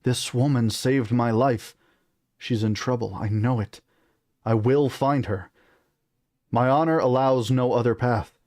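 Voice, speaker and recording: A man's voice: medium pitch, fairly even intonation, measured pace, long pauses, a little mellow, no echo, clean studio sound, close to an everyday voice. A man voicing a resolute young prince in a storybook, firm and earnest. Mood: resolute, defiant. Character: defiant, honorable young prince, resolute son.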